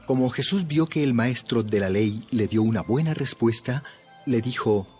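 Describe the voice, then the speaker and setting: A man's voice: mid-range voice, moderate pace, a little mellow. A man narrating a dramatized audio Bible as scripted storytelling. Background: music.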